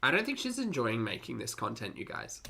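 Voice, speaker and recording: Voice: mid-range voice, huge pitch swings, a little fast, vocal fry, bright, forceful tone, a little hiss or hum. A person giving casual reaction commentary as a video host, with an exasperated, sarcastic way of critiquing what they watch.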